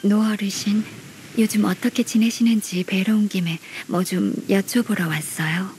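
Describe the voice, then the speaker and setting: A woman's voice: medium pitch, steady tone, a little mellow, a little hiss or hum, lightly acted. Woman playing a composed, polite young official. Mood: courteous. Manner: polite, measured, formal. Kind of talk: video game character acting.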